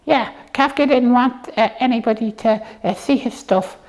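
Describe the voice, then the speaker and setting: A woman's voice: a little high, normal speed, a little mellow. A woman presenting a puppet show in casual comic chat, explaining things in a rambling, hesitant way.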